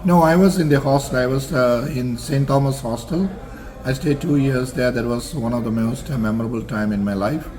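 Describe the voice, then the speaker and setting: An older man from Singapore, answering interview questions about his college years in a measured, formal way with frequent pauses and fillers. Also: mid-range voice, a little mellow. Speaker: interview guest, alumnus.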